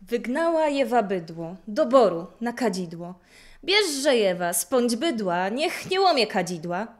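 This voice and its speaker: Woman as a lively village carnival rhymester. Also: fairly high pitch, unhurried, soft background music. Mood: playful. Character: village lad in costume reciting comic carnival rhyme. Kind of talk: folk rhyme recitation.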